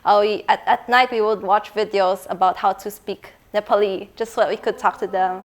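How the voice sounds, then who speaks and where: A young woman on a student panel, lively and chatty as she tells about a trip, laughing easily. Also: mid-range voice, normal speed. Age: young adult. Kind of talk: casual storytelling.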